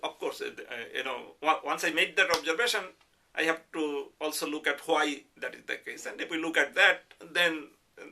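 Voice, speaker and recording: A middle-aged or older man with a Bengali accent, giving a reflective interview in a measured, hesitant way with careful qualifications. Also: a little high, creaky, noisy background. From Bangladesh. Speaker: interviewee, professor. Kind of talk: reflective oral history interview.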